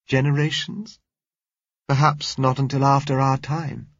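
A man reading aloud, voicing a spirited young Englishwoman in clipped, brisk lines. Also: mid-range voice, level tone, creaky, a bit bright, slightly rough, no room sound, dull audio, voice pushed up, exaggerated voice.

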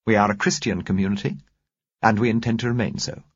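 A man voicing a stern, self-righteous woman community leader in an audiobook, with a sharp, clipped, sanctimonious manner. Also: lowish voice, expressive, quick pace, husky, no echo, lo-fi sound, pitched above the performer's own voice. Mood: sharp, indignant.

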